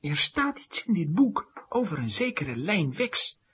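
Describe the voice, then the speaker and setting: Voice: mid-range voice, big pitch swings, measured pace, a bit bright, husky, exaggerated voice. An actor playing an earnest young boy reading aloud in a children's audio drama. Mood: earnest, intrigued.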